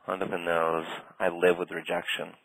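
A man guiding a tapping session in a calm, steady way, naming tapping points and saying short phrases for the other person to repeat. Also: low-pitched, vocal fry, dry recording, rough recording. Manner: calm, slow, leads phrases for repetition. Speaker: tapping practitioner.

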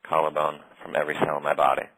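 A man guiding a tapping session in a calm, steady way, naming tapping points and saying short phrases for the other person to repeat. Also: rumbling low, slightly rough, no echo, poor audio. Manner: calm, slow, leads phrases for repetition. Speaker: tapping practitioner.

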